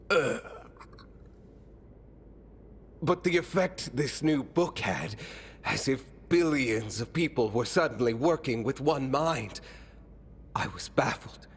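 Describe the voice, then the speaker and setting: A man playing a weary, remorseful fallen mastermind in a video game, speaking gravely and haltingly with breathy trailing phrases. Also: medium pitch, a little hiss or hum. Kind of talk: dramatic video game monologue.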